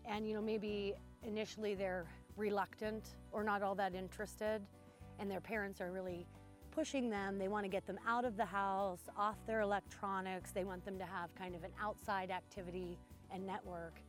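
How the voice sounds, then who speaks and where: An easygoing woman with a mid-pitched voice answers interview questions about her horse ranch and fills her pauses with "uh". Faint music plays underneath. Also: flat delivery. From Montana. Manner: easygoing, many fillers. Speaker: ranch owner, riding instructor.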